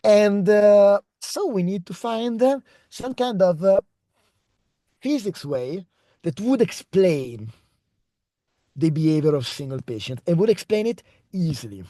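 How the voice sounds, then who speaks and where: A young Italian-accented man presents his research over a video call, pausing often and restarting his sentences. His voice sits a little high for a man yet sounds mellow. The recording is dry and close, with almost no room sound. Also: lively intonation, no room sound. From Italy. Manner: hesitant, many fillers and restarts. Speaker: researcher giving a talk.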